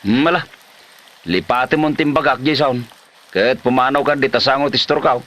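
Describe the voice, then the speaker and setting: A man's voice: mid-range voice, normal speed, a bit bright, lightly acted. A man playing an exasperated older village father figure who scolds and exclaims in a comedy drama. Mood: scolding.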